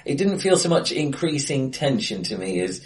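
A man co-hosting a casual film discussion, hesitant and full of restarts, a few drinks in, recorded face to face in an acoustically bright room. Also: medium pitch, very animated, moderate pace. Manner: hesitant, many ums and restarts, laughs often. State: a few pints in. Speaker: podcast co-host.

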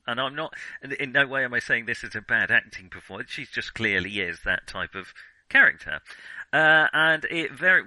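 A man co-hosting a film podcast, thinking aloud in a halting, digressive way as he casually reviews what he has watched. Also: fairly low pitch, bright, forceful tone, no echo, low-quality recording.

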